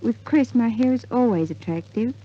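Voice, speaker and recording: High-voiced woman on old radio. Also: normal speed, husky. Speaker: air hostess giving an advertising testimonial. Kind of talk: scripted 1950s radio testimonial. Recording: dull 1950s radio recording.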